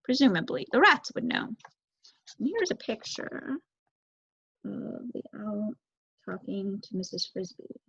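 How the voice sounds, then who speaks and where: A young woman reads a children's novel aloud. Her voice sits at an average pitch, and she narrates expressively. The recording is very dry and slightly dull. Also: big pitch swings, measured pace, creaky, pauses often, fairly bright tone, breathy edge, dry recording. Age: young adult. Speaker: student storybook reader.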